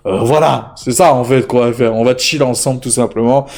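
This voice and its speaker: A man's voice: mid-range voice, fairly even intonation, a little fast, darkish tone. Chatty young man rambling through deals. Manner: chatty, rambling, lots of fillers and repeats. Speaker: tech deals YouTuber. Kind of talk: casual deal roundup vlog.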